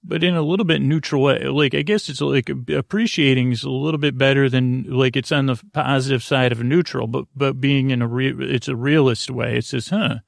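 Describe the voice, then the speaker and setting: A man hosting a podcast in a rambling bedtime monologue, halting and often interrupting himself as he meanders. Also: mid-range voice, steady tone, vocal fry, no room sound, studio quality. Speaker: podcast host.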